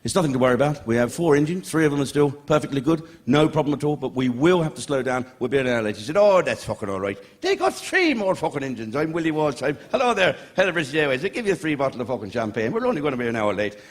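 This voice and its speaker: A man's voice: mid-range voice, very animated, fast, few pauses. A man doing a stand-up routine, telling a story with lots of swearing. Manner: swearing, storytelling, acting out voices. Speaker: stand-up comedian.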